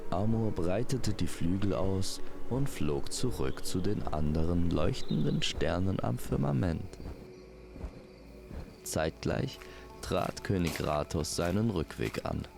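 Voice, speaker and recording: Deep voiced guy reading a fantasy tale, really unhurried, lyrical, music behind him. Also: very animated, vocal fry. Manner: measured, poetic. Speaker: storyteller. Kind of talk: scripted fantasy narration, lyrical storytelling.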